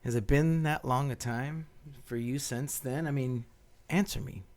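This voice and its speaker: A man's voice: mid-range voice, a little slow, a little mellow, natural voice. An actor playing an ardent, insistent man in forbidden love in a radio play, speaking earnestly and tenderly. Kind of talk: radio play romantic acting.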